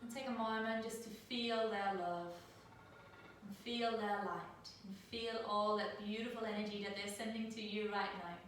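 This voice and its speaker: A middle-aged woman from Denmark leading a guided yoga class over a live video call, speaking in a soft, soothing way with slow, drawn-out cues. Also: mid-range voice, fairly even intonation. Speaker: yoga teacher. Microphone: laptop mic at a distance. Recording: very echoey room, distant mic, faint noise.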